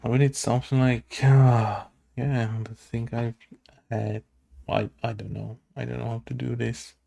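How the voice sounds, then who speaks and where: A man who develops games, thinking aloud casually as he codes, halting and muttering to himself. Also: medium pitch, flat delivery, vocal fry, warm tone. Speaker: game developer.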